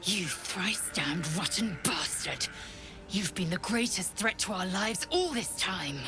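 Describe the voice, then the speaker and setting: An actress playing a furious, accusing adventurer in a fantasy video game, with a forceful, indignant delivery. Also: female voice, mid-range voice, lively intonation, slow, music in the background. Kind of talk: dramatic fantasy game dialogue.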